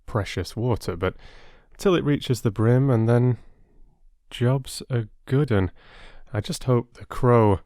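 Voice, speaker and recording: A man who reads stories aloud and chats to his listeners in a playful, expressive way. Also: medium pitch, slow pace, dry recording, clean studio sound. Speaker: audiobook narrator and channel host. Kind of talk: storybook narration and casual chat to listeners.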